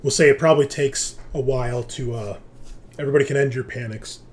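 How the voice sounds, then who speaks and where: A man's voice: medium pitch, steady tone, fast. A man running a tabletop game, narrating and giving rulings off the cuff as game master.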